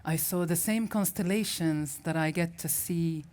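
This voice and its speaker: Low-pitched woman from syria, arabic first language, telling a story to a crowd, pauses and restarts a lot. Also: a little mellow. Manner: reflective, searching for words with restarts. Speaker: festival speaker. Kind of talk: personal storytelling talk.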